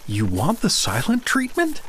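A man's voice: high-pitched, wildly swooping pitch, a bit bright, a little hiss or hum, full character voice. A man playing a stubborn, bumbling middle-aged dad with corny jokes in a comedy audio drama, blustery and defensive. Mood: defensive, irritated. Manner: blustery, defensive, halting when awkward.

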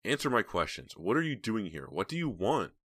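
A man's voice: on the low side, big pitch swings, fairly quick, fairly bright tone, no echo, studio quality, pitched above the performer's own voice. A man voicing a tense, frightened young woman who hisses furious, accusing demands in an audiobook story. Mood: furious, demanding.

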